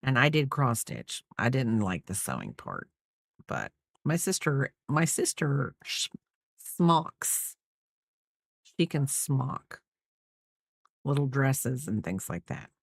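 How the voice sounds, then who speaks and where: A woman's voice: extremely low pitch, sing-song, slow pace, creaky, long pauses, husky, dry recording, clean studio sound. A middle-aged woman chatting casually as a crochet host, in a rambling way, trailing off and repeating herself. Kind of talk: casual chat while crocheting, counting stitches aloud.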